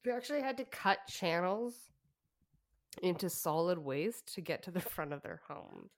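A woman's voice: fairly low pitch, animated, moderate pace. A woman co-hosting a podcast, telling a story in casual chat with fillers and laughs. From Canada. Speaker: podcast co-host.

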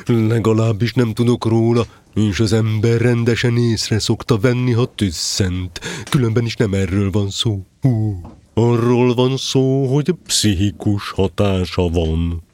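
A man's voice: lowish voice, darkish tone, lightly acted. A man playing a pompous, long-winded, self-important owl in an audio drama, hooting between phrases. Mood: indignant, insistent. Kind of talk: audio drama character acting.

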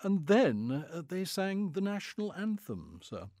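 A man voicing a calm, formal valet who reports events in measured, precise speech. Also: high-pitched, dry recording, clean studio sound, pitched above the performer's own voice. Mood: composed. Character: unflappable, formal adult male valet.

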